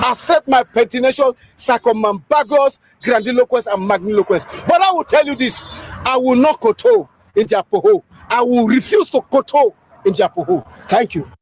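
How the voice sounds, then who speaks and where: A man's voice: very high voice, expressive, normal speed. A man declaiming bombastic political oratory, stacking long obscure words with emphatic repetition.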